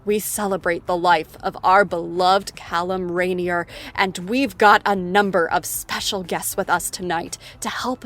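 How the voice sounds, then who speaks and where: A woman's voice: mid-range voice, fairly bright tone. A woman playing the theatrical, mock-solemn host of a scripted tribute show.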